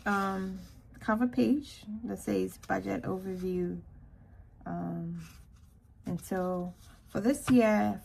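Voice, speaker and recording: A woman from Ghana giving a casual walkthrough narration in an unhurried way, with hesitant pauses. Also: mid-range voice, gravelly, a little mellow, a little hiss or hum, poor audio. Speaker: planner vlogger.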